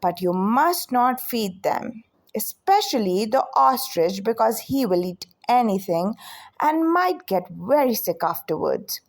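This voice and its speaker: Female reader as male show director, storybook read-aloud, authoritative, brisk manner, animated pitch. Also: exaggerated voice. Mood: instructing.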